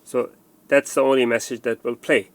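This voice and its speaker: A man's voice: medium pitch, flat delivery, normal speed. A man presenting a hands-on installation tutorial, explaining step by step and often repeating himself with fillers.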